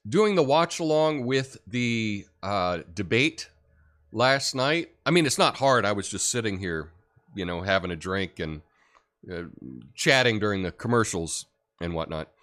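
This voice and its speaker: Deep-voiced man, relaxed rambling livestream chat, mid-pitched. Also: unhurried. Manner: rambling, relaxed, many fillers and restarts. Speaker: livestream host. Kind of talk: casual livestream chat reading comments.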